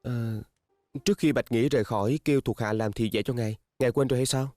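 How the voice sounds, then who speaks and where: A man's voice: medium pitch, fairly even intonation, quick pace, a little mellow, faint music behind, natural voice. A man playing a deferential young subordinate in a dubbed fantasy costume drama, stammering and hesitant as he speaks. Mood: nervous deference.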